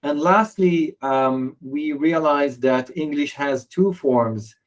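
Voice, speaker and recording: Man demoing software, halting. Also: fairly high pitch. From Israel. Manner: hesitant, many fillers and restarts. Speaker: software presenter. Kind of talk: software demo walkthrough.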